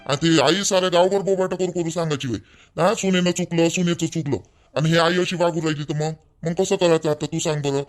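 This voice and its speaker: Man voicing fast-talking exasperated husband, Varhadi accent. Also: a little high, steady tone, soft background music, close to an everyday voice. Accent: Varhadi Marathi (Vidarbha). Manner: argumentative, insistent. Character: exasperated husband and brother defending himself. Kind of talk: animated family drama acting.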